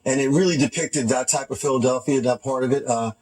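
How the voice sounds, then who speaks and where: A man answers interview questions. He talks informally and haltingly, often doubling back to repeat a phrase. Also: medium pitch, fairly even intonation, darkish tone. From Hoboken, New Jersey. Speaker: film producer, interview guest. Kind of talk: casual interview chat. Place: TV studio.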